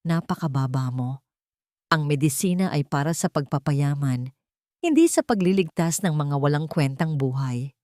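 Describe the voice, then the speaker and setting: A woman voicing a cold, greedy male doctor who talks slowly with contempt, in an audio story. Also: a little high, expressive, a little mellow, dry recording, clean studio sound, lightly acted. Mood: sneering.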